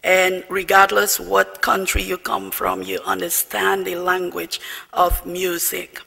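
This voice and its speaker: A man with a Dutch accent, a diplomat giving a formal after-dinner speech and toast, measured and with asides to the audience. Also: high voice, completely flat delivery, vocal fry, pushed and bright, breathy edge. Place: large banquet hall. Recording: long hall reverb, faint music.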